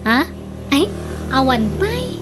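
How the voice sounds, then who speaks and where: A woman's voice: high-pitched, sing-song, slow pace, music in the background, exaggerated voice. A woman playing a coy, hesitant young female boarder of twenty-two in a comedy radio drama. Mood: polite, shy. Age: young adult. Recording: slight noise and clicks.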